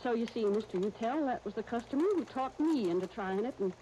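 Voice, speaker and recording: An actress playing a folksy, bossy older dressmaker, rambling through stories briskly in a 1930s radio advertising skit. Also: female voice, fairly high pitch, expressive, dark tone, lo-fi sound, lightly acted. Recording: hissy 1939 radio disc recording.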